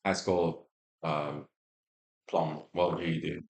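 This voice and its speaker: A man's voice: a bass voice, flat delivery, measured pace, gravelly, reverberant. A man speaking as a patient, giving brief, matter-of-fact conversational answers to questions.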